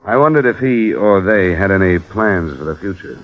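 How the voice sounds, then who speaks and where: A man's voice: sits low, lively intonation, normal speed. An actor playing a blunt, probing investigator who narrates his case, dry and direct, in a 1950s radio detective drama. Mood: careful, probing. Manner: dry, direct, matter-of-fact. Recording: dull lo-fi old radio broadcast.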